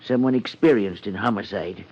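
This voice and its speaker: Earnest elderly village official, muffled radio. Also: male voice, on the low side, normal speed, breathy edge. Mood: earnest, hesitant. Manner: hesitant, polite, beseeching. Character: earnest, pleading elderly small-town supervisor, little gent. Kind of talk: 1950s radio drama acting. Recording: muffled 1950s radio recording.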